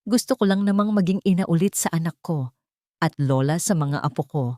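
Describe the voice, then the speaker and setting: A woman voicing a gentle, humble elderly mother-in-law from the province, meek, hesitant and affectionate, in an audio drama story. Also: lowish voice, fairly quick, no room sound, studio quality, lightly acted. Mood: hurt, meek.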